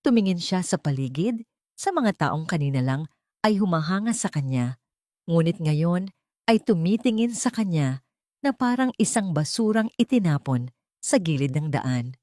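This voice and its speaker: Low-voiced woman narrating drama. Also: sing-song, moderate pace, dark tone, dry recording, clean studio sound. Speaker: audio story narrator.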